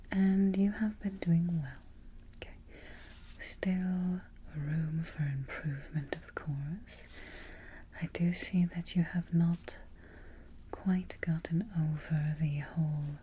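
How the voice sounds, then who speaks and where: A woman playing a haughty evil queen in a soft-spoken, breathy ASMR roleplay, giving imperious orders close to the microphone. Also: deep voice, a little hiss or hum, rough recording. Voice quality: breathy, half-whispered. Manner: slow, deliberate, imperious.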